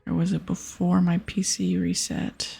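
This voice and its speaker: A woman giving casual, gentle ASMR commentary on a video game in a soft, breathy, often whispered voice. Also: lowish voice, little pitch movement, a little slow. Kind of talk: ASMR game commentary, reading dialogue aloud. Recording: soft music behind. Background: music, keyboard clicks.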